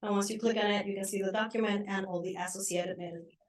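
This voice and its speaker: A woman presenting at an academic conference, brisk and rushing to finish on time. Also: mid-range voice, steady tone. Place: conference room.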